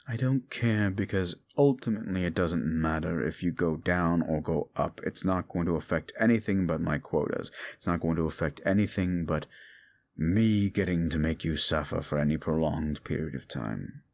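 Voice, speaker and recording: A man voicing a bitter, ancient fallen demon who speaks scornfully to a listener in an audio drama, dropping to hushes and rising to shouts. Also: sits low, normal speed, gravelly. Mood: cold. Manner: contemptuous, swings from hushed to shouting.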